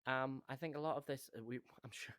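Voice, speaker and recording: A man's voice: mid-range voice, fast, a bit bright, no echo. A man who directs audio drama, chatting casually with co-hosts in a rambling, thinking-aloud way. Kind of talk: casual behind-the-scenes discussion.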